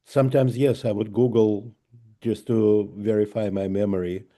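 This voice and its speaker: A man with a very dark, mellow voice and a low pitch answers briefly over a video call. He has a dry, wry manner and jokes quietly. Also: steady tone, normal speed. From Soviet Union. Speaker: author guest. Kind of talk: casual conversation.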